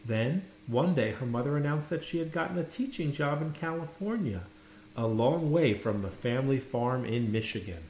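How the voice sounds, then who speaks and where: A man reading a children's story aloud, dramatically, with pauses and stressed words. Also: mid-range voice, expressive, a little mellow. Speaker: storybook reader. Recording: roomy echo, faint background noise.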